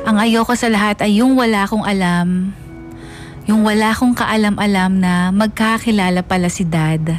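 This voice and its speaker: A woman with a mid-pitched voice narrates a first-person radio drama. She slips between Filipino and English over faint background music. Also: normal speed.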